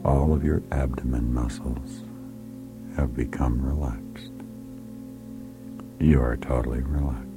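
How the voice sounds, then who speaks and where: A man with an extremely deep voice guides a listener into hypnotic relaxation, speaking unhurriedly and softly, often slipping into a whisper. His calm, repetitive commands sit over clear background music. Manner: soft, hushed, often sliding into a whisper; slow, soothing, repetitive commands.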